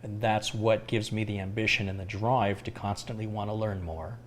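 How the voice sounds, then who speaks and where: A middle-aged man talks in a calm, unhurried way, thinking aloud as he answers viewers on a live stream. His voice sits on the low side. A faint hum of room noise runs underneath. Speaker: tech livestream host answering viewer questions. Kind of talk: casual live Q&A chat.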